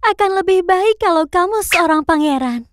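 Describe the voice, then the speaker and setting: A young mermaid princess in a children's cartoon. The voice is extremely high, dainty and a little haughty, with clicking noise behind it. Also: female voice, expressive, normal speed. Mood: disappointed. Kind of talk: children's cartoon character acting.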